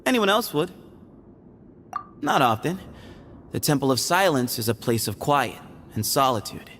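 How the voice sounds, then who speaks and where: A man's voice: medium pitch, big pitch swings, unhurried, a bit bright, a little hiss or hum. A man playing a sly, easygoing young desert dweller in a video game, breezy, teasing and glib. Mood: amused. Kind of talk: video game character acting.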